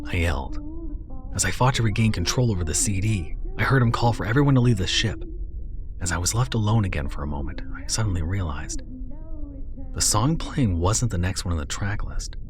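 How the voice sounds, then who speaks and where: A man narrating a horror story in a low, hushed, tense voice that slips into whispers. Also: lively intonation, fairly quick.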